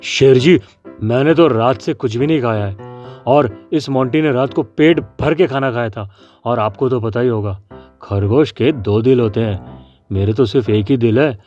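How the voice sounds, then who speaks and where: A man voicing a friendly, quick-witted small animal in a children's cartoon story, polite and persuasive. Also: medium pitch, darkish tone, natural voice. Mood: cunning, persuasive. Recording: dull lo-fi sound with faint music. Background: faint music.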